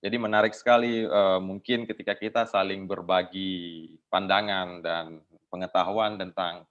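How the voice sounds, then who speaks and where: Indonesian guy opening a discussion, wordy and careful, lowish voice, slightly muffled call audio. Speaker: guest host and moderator of a conversation. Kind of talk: semi-formal bilingual opening remarks. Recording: slightly dull lo-fi online call.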